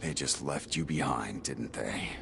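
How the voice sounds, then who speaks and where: A man's voice: rumbling low, a little slow, creaky, background noise, exaggerated voice. A man playing a brooding, driven warrior in a video game cutscene, speaking tersely and dramatically. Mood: bitter. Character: brooding, driven adult male warrior hunting chaos.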